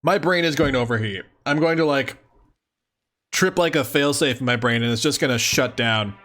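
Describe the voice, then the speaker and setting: A playful, chatty man reading a webcomic aloud and commenting casually on a livestream, often giggly and thinking out loud. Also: medium pitch, normal speed. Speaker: streamer.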